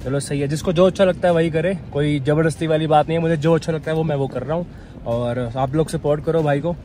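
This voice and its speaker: A man's voice: fairly high pitch, steady tone, quick pace, mellow, a little hiss or hum. A young man chatting casually and informally to camera as a solo travel vlogger, outdoors. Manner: informal, bro-peppered talk. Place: outdoors at a mountain campsite.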